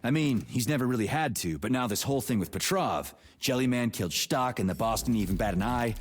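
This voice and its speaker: An actor playing an irritable, foul-mouthed army major in a video game, brusque, sarcastic and blunt. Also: male voice, medium pitch, animated, brisk, big-room sound, a little hiss or hum, natural voice. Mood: wary. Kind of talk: scripted video game dialogue.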